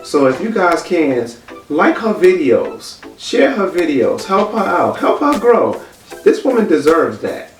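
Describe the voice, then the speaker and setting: A man's voice: high voice, very animated, a little slow, recorded from a distance. A man in his fifties from Brooklyn, New York, joining his wife's vlog with brief, laid-back replies. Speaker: vlogger's husband. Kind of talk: casual couples Q&A chat. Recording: echoey room, off-mic, music behind.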